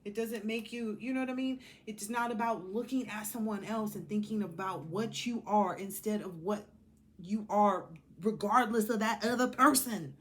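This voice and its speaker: A woman's voice: medium pitch, moderate pace, fairly bright tone. An American woman giving a casual, rambling tarot card reading in a blunt, sassy, colloquial way.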